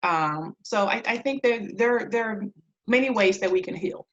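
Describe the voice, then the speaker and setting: A woman in her thirties from Liberia answers interview questions conversationally as a guest, reflective and halting, often pausing between phrases. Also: medium pitch, animated, lo-fi sound, slight background noise. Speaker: interview guest, clinical social worker.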